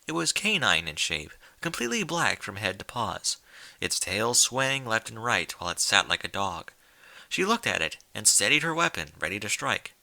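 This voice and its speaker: A man reading stories aloud in a theatrical, deliberate style of dramatic scripted narration. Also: on the low side, big pitch swings, creaky, bright, forceful tone, no echo. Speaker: story reader.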